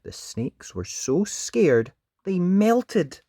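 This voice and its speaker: An expressive storybook reader with a hugely varied, swooping delivery. The pace is unhurried and dramatic, and the sound is close and dry, made for young children. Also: medium pitch, dry recording. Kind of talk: animated children's read-aloud narration.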